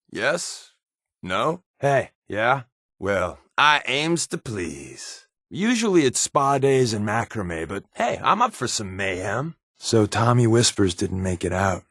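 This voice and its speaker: An actor playing a wry, secretive spy in a video game, with a glib, offhand, conversational delivery. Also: male voice, medium pitch, very animated, slow, a bit bright, dry recording, studio quality, exaggerated voice. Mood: joking, then matter-of-fact. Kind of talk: video game companion dialogue.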